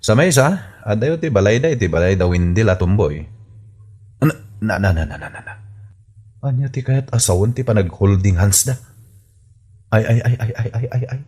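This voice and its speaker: A man's voice: on the low side, animated, moderate pace, pauses often, darkish tone, breathy edge. A man with a Filipino accent playing a nosy, gossiping villager in a comedy radio drama, inquisitive and muttering. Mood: nosy musing.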